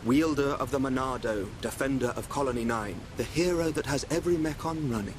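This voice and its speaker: A man playing a calm, enigmatic young seer in a video game, speaking with formal, polite phrasing. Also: medium pitch, fairly quick, background noise, close to an everyday voice. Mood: serene, knowing. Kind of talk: video game cutscene acting.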